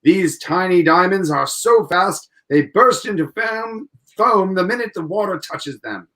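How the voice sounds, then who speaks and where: A man's voice: high voice, expressive, unhurried, a bit bright. A man playing an upbeat, polished 1940s radio announcer, hearty and salesmanlike as he presents and narrates. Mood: enthusiastic.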